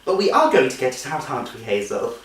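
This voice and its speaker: A man's voice: mid-range voice, big pitch swings, fast talker. Man playing a genteel, exasperated nursery teacher, echoey and distant. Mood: firm, exasperated. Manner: polite, singsong coaxing with clipped scolding. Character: genteel, strained-cheerful female nursery teacher, patient but exasperated. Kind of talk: theatrical comic monologue. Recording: echoey room, distant microphone.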